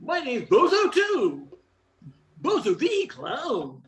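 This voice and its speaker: A woman's voice: sits high, huge pitch swings, slow pace, reverberant, full character voice. A woman playing a goofy, chummy clown who cracks bad jokes in an amateur radio comedy. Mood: cheerful. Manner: jokey, chummy. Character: goofy joking clown named Bozo.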